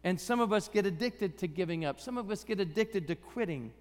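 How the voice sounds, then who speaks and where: A man preaching a sermon in a church with a raspy voice, talking conversationally with self-deprecating humor. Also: sits high, lively intonation, fairly quick, long reverb tail. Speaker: guest preacher.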